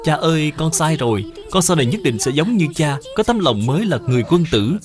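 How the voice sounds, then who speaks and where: A man plays a bright little boy in a cartoon, high and lively in pitch. He speaks respectfully and formally, like a small scholar, with music behind him. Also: a little fast. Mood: earnest, humble. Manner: respectful, formal beyond his years. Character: polite, bright, earnest little boy, Khổng Dung. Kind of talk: dubbed educational cartoon acting.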